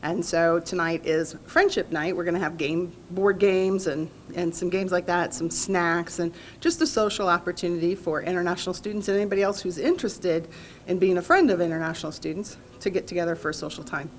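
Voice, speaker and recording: A woman's voice: fairly low pitch, steady tone, fairly quick, a little hiss or hum. A woman organizing a social event, explaining it in a friendly, conversational interview. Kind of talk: interview answer.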